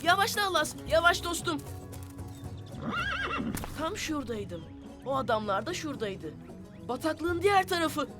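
A performer voicing a brave, curious young boy in an animated adventure, speaking earnestly and expressively. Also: high-pitched, unhurried, pauses often, music in the background. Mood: determined, secretive. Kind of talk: animated children's adventure cartoon acting.

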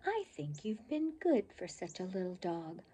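A woman's voice: on the low side, sing-song, unhurried, dark tone, breathy edge, full character voice. A woman voicing a patient mother dog who coaxes and questions her pup. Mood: coaxing. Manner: coaxing, questioning.

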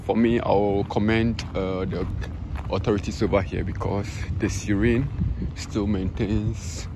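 A man's voice: on the low side, fairly even intonation, unhurried. A man with a Ghanaian accent hosting an informal walking tour for a sports channel, often checking in with viewers as he talks. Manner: informal, frequent "you understand" check-ins. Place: outdoors, open stadium grounds and car park. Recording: outdoor handheld recording, loud ambient noise.

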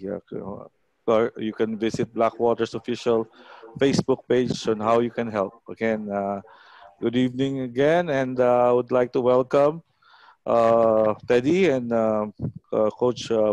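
Halting Filipino man, dry close sound. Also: mid-range voice, darkish tone, no echo, rough recording. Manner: halting, hesitant delivery. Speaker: host of an online coaching talk. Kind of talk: informal hosting and introductions. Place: small room at home. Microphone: headset.

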